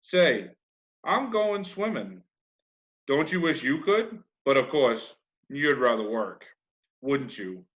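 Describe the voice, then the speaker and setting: A man's voice: mid-range voice, very animated, slow, long pauses, fairly bright tone, highs cut off. A man reading a children's classic, voicing a boastful, folksy young boy who barks orders and teases. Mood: teasing. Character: boastful young boy playing a steamboat captain.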